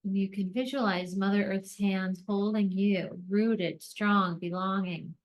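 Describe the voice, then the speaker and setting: A woman leading a guided meditation, speaking gently in deliberate short phrases with long pauses between them. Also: medium pitch, fairly even intonation.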